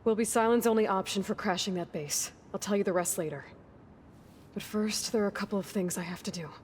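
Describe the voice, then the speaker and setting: Video game heroine, played by a woman: young, stubborn, protective, breathy and intense, mid-range voice over faint noise. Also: medium pitch, fairly quick, lightly acted. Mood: resolute. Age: young adult. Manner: earnest, resolute, often hushed and breathy. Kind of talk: dramatic video game cutscene acting.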